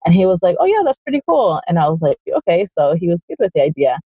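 A woman's voice: on the low side, big pitch swings, fast, slightly rough, dry recording. A woman who was born in Chile and raised in the United States, a guest telling her story in a rambling, casual way with lots of fillers. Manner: rambling, lots of "kinda" and "like", quotes her own thoughts. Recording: dull, dry sound.

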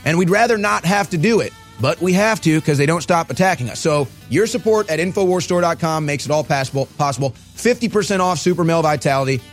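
Hoarse, raspy male talk show host. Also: fairly high pitch, lively intonation, fast, hardly any pauses, faint music behind. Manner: forceful, salesy. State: hoarse from shouting. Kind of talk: political talk show and product pitch.

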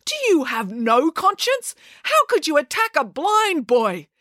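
A woman's voice: a little high, big pitch swings, normal speed, a bit bright, dry recording, clean studio sound, voice pushed up. A woman voicing a stern, indignant older male school counsellor. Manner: blustering, indignant.

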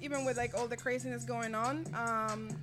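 A woman's voice: on the high side, fairly even intonation, moderate pace, music in the background. A woman in her thirties with a Venezuelan Spanish accent, a native Spanish speaker, chatting as an interview guest in a friendly, hesitant way. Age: 30s. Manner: friendly, hesitant, restarts sentences. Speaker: professional dominatrix guest. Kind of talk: casual interview chat.

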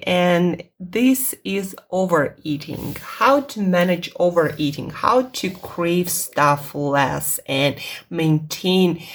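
A woman's voice: lowish voice, breathy edge. A woman with a Russian accent, a native Russian speaker, talking casually to listeners as a health podcast host, with frequent fillers and repeated phrases.

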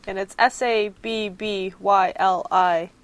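A woman's voice: medium pitch, fairly even intonation, slow pace, slight background noise. A woman of twenty-one co-hosting a casual chat, giggly and teasing. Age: 20s. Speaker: podcast co-host.

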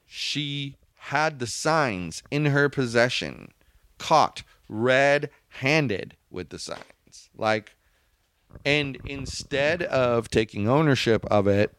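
A man's voice: mid-range voice, a bit bright, no echo. A man co-hosting a casual podcast, arguing his points emphatically and bickering with his co-host. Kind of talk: casual opinionated chat.

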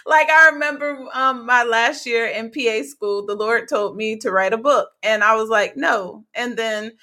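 A young woman hosting a faith-based podcast interview, with a raspy, hoarse voice, talking excitedly with lots of fillers and frequent laughter. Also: a little high, normal speed. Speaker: podcast host.

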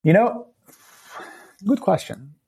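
A man in his late thirties from Turkey with a Turkish accent, a podcast guest talking thoughtfully and pausing often to search for words. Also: sits high, animated, a little mellow, breathy edge. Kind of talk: casual interview talk.

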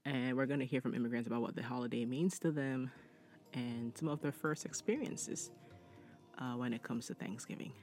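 A man's voice: medium pitch, steady tone, moderate pace, darkish tone, faint music behind. A man hosting a podcast in a casual, chatty way, moving between reading and conversational asides. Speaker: podcast host.

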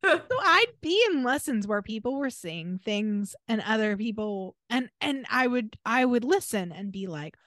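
A woman's voice: a little high, animated, unhurried, hardly any pauses, a bit bright, no room sound, studio quality. An American woman co-hosting a casual podcast, speaking in a wry, emphatic, opinionated manner. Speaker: podcast co-host.

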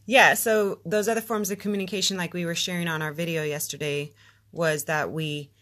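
A woman's voice: fairly low pitch, fairly even intonation, brisk, fairly bright tone. An American woman hosting her podcast, chatty, upbeat and playful, laughing easily. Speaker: podcast host. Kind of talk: casual podcast chat.

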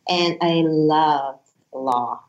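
Woman in her 40s from uzbekistan, first language russian, chatting earnestly, low voice, roomy muffled sound. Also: extremely slow. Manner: earnest, animated storytelling. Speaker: guest, former attorney. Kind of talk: casual interview chat.

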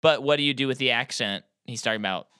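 A man's voice: medium pitch, pushed and bright, clean tone, no echo, studio quality. A man co-hosting a podcast, giving opinionated, joking movie takes in casual chat. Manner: opinionated, quick to joke about his own hot takes. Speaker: podcast co-host.

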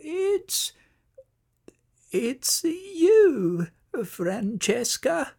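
A narrator doing a soft, kind sheep. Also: medium pitch, big pitch swings, takes it slowly, creaky, pitched above the performer's own voice, full character voice. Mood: gentle. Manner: soft, kindly and quiet. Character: gentle, kind male sheep friend.

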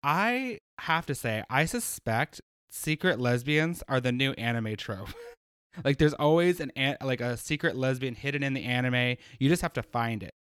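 A man's voice: medium pitch, lively intonation, moderate pace, a bit bright, clean tone, dry recording. Man, podcast co-host, rambling, giggly, lots of "like", dry close mic. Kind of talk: casual chat.

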